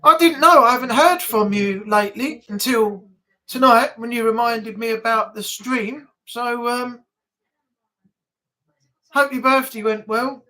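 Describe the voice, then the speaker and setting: A woman's voice: a little high, unhurried, pushed and bright, rough recording. Chatty woman hosting a live chat. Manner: chatty, rambling, with fillers. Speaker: livestream host. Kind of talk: casual live chat to viewers.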